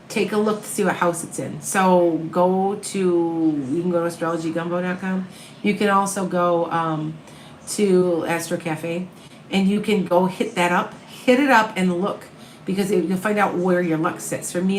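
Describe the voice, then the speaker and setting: Calm, soothing Midwestern woman in echoey room. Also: on the low side, slight background noise. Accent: Upper Midwest American. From American Midwest. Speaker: tarot reader and medium. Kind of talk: live-stream reading viewer questions.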